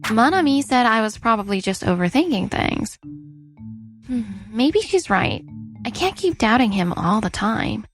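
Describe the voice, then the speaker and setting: An earnest young wife played by a woman tells her story in a dubbed manga drama. Her voice sits on the high side and sounds wounded. Music plays softly underneath. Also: sing-song, unhurried. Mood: worried. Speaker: narrator and lead character. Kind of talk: scripted first-person story narration and dubbed dialogue.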